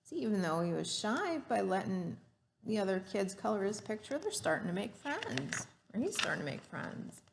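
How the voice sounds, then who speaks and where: A woman's voice: mid-range voice, big pitch swings, moderate pace, gravelly, a little hiss or hum. A woman reading a story aloud to children like a teacher, adding explanations and questions for the listener. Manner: didactic, asks the listener questions.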